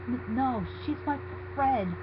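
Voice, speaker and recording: A woman voicing a young female pegasus pony, frantic and anguished, with shrill, desperate pleading in a lo-fi home recording with hum. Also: lively intonation, takes it slowly.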